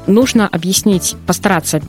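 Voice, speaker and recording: A woman psychologist, giving earnest advice in casual conversation, often pausing with hesitations. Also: medium pitch, faint music behind. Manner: earnest, advising, with frequent hesitations. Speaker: psychologist guest. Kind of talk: casual talk show conversation.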